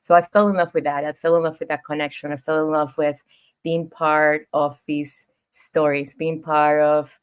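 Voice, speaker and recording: Low-voiced Ecuadorian woman telling her story. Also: flat delivery, normal speed, clear, resonant tone. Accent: Ecuadorian Spanish. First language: Spanish. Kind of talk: casual interview storytelling.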